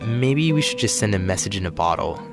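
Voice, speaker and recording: An actor playing a weary, despairing space flight attendant in an audio drama, speaking in a halting, dejected, grumbling way. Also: male voice, lowish voice, expressive. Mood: despairing, fed up. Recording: music behind the voice.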